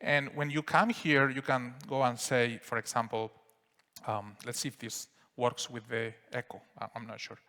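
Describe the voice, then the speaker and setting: Man, Spanish accent, mid-pitched voice, relaxed presenter, filler-heavy, long hall reverb. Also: normal speed. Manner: relaxed explanatory, frequent fillers. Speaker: software presenter. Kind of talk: live product demo talk. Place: conference hall.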